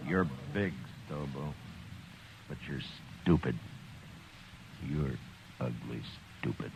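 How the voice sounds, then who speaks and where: A man acting a tough, weary Old West marshal in a radio western, curt and commanding but halting when moved. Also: extremely low pitch, creaky, heavily acted. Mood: cold contempt. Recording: dull, noisy old radio recording.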